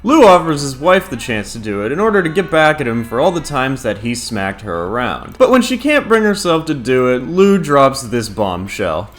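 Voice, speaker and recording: A man's voice: medium pitch, very animated, moderate pace, hardly any pauses. A man hosting a scripted countdown review, talking casually and swearing freely. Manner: casual, profane. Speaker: video reviewer and host. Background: music.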